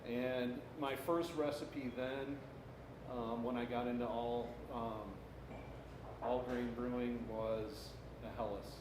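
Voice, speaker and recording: A middle-aged man who brews beer, talking casually on a panel in a halting, self-deprecating way. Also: medium pitch, little pitch movement. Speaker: craft brewer on a panel. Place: indoor room at a live panel event. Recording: echoey room, clearly noisy live stream.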